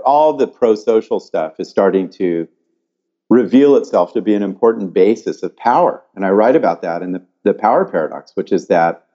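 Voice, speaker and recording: An older man from California, explaining research in an animated, thoughtful way and often restarting his sentences. His voice sits low and sounds mellow. The audio is dull and lo-fi. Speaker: psychology professor and author. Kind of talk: interview answers.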